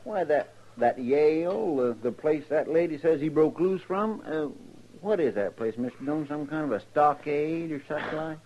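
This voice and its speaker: An actor playing a naive, talkative deputy sidekick in a radio western, with a rural Western American accent and a hesitant folksy manner. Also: male voice, a little high, animated, normal speed, few pauses, natural voice. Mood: puzzled. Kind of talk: old-time radio western acting. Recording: noisy, muffled old radio recording.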